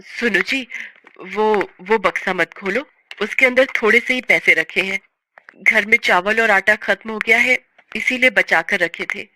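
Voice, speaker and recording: A woman voicing a poor, long-suffering mother in a story, gentle, earnest and pleading. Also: mid-range voice, unhurried, background noise, lightly acted. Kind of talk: dramatized story reading.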